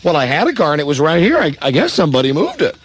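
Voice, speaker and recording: Man playing a lively, flustered everyman, high-pitched. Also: rapid-fire, husky, exaggerated voice. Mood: flustered, exasperated. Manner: folksy, stammering, flustered. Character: exasperated, bewildered despairing family man. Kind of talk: comic radio-play acting.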